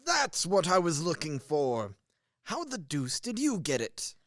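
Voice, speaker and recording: A man's voice: a little high, wildly swooping pitch, measured pace, a bit bright, dry recording, studio quality, exaggerated voice. A man playing a blustering, easily impressed middle-aged major and father in a radio comedy, exclaiming as he goes. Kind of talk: stage-comedy radio play acting.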